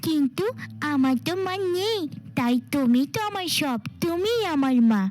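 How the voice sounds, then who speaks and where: Woman as little cartoon girl, high voice, timid, devoted, pleading, childlike, fairy-tale storytelling. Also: lively intonation, normal speed, darkish tone, faint music behind. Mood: affectionate, trusting. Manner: pleading, childlike, affectionate way of addressing mother.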